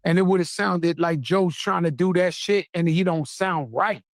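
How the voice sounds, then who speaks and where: A man with a New York accent, teasing his guest in casual, animated interview banter. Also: sits high, measured pace, no echo, clean studio sound.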